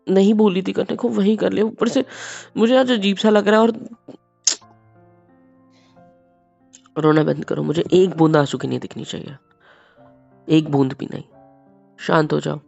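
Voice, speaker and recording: A man playing a gravelly, tender young husband. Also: a little high, moderate pace, a little mellow, dry recording, lo-fi sound, faint music behind. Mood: stern. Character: young husband, hot-tempered but tender, apologetic. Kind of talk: romantic audio drama.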